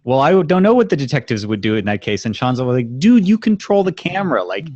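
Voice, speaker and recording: A man's voice: mid-range voice, very animated, quick pace, a little mellow, no room sound. Casual male co-host, dull dry audio. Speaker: podcast co-host. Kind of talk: casual chat.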